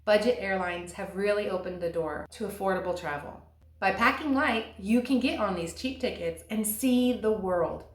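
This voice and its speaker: A woman in her thirties giving earnest, encouraging advice to camera, slowing down to stress key words. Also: medium pitch. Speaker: vlogger and stay-at-home mom. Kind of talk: scripted advice talk to camera. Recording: echoey room.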